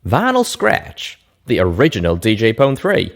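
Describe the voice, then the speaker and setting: A man's voice: on the low side, huge pitch swings, normal speed, gravelly, pitched above the performer's own voice, heavily acted. A man voicing a brash, wry male pony, a seasoned wasteland adventurer, with an emphatic drawl. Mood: impressed, wry.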